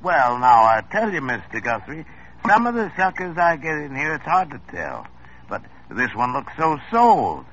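An actor playing a smooth-talking used car dealer with folksy sales patter. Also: male voice, on the high side, sing-song, normal speed, a bit bright. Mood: salesy. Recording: muffled old radio recording with faint hiss.

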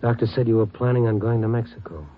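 A man's voice: mid-range voice, fairly even intonation, fast, close to an everyday voice. Man acting a wry hardboiled American radio reporter. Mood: gentle. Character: world-weary, wry male city newspaper reporter. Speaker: newspaper reporter lead and narrator. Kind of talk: 1950s radio drama acting. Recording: tinny old radio recording with music.

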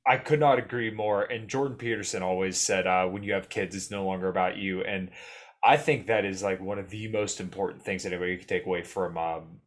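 Opinionated young man, low voice. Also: fairly even intonation, a little fast. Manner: earnest, opinionated, restarts often. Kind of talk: casual political podcast chat.

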